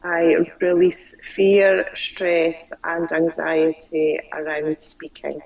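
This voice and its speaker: Slow, low-voiced woman who stutters. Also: little pitch movement, creaky, a little mellow, far from the mic, poor audio. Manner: repeats phrases slowly after the coach. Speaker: client.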